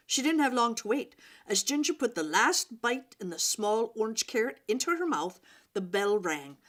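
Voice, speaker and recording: A woman reading a children's story aloud in an expressive, dramatic way, with pauses and emphasis for young listeners. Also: fairly high pitch.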